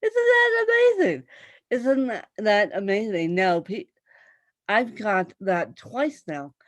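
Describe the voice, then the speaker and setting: A woman's voice: medium pitch, sing-song, takes it slowly, no echo. A woman hosting an interview show, chatting with her guest and talking straight to viewers. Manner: chatty, addresses viewers as "you guys". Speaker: talk show host. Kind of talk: conversational interview.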